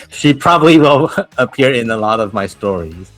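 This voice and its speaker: A man with a Taiwanese accent who teaches English, chatting casually and telling animated stories with laughs and restarts. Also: medium pitch, moderate pace, dry recording, poor audio. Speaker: English teacher, podcast host. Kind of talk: casual chat.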